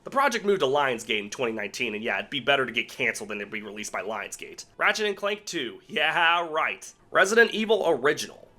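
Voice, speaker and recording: A man's voice: mid-range voice, pushed and bright. A man hosting an online video essay, giving sarcastic, irreverent commentary with casual swearing. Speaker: video host. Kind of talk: video essay commentary on cancelled game movies.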